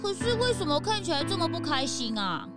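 A woman's voice: very high voice, sing-song, fairly quick, over loud music. A woman playing a perky, kindly young sidekick to a detective. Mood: coaxing.

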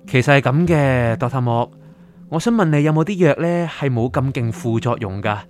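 An actor playing an anxious, sleepless writer seeking help in a radio drama, pleading and doubting in Hong Kong Cantonese. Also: male voice, mid-range voice, normal speed, darkish tone, lightly acted. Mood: troubled. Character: troubled, sleepless male writer seeking his doctor's help. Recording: music underneath.

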